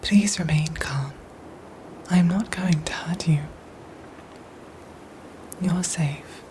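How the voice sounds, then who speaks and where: Woman as android soldier for ASMR drama: low, soft and whispery, formal, measured, gently protective, over noisy ambience. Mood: calm, reassuring. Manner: measured, formal, robotic phrasing with careful pauses. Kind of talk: ASMR audio roleplay acting.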